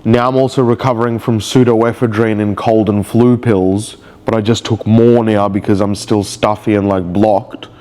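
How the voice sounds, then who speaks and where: A man's voice: fairly low pitch, level tone, normal speed, few pauses, a little mellow. Stuffy-nosed man rambling emotionally. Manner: rambling, emotional, searching for words. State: nasal from a cold. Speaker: vlogger. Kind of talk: confessional video diary.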